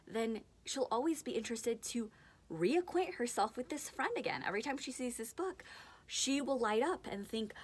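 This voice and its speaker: A woman in her thirties speaks as a parent sharing practical advice in an informal instructional style. Her delivery is chatty and expressive, and she likes to act out little lines as she explains. Her voice sits at an average pitch for a woman. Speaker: parent giving book tips. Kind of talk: informal instructional talk to camera.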